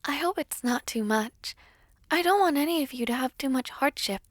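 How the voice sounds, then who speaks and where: A woman playing a gentle, modest young princess in an audio roleplay, soft and breathy, speaking politely and hesitantly. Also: high voice, animated, normal speed, no room sound, clean studio sound. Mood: gently anxious. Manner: soft-spoken, hesitant, polite, formal diction.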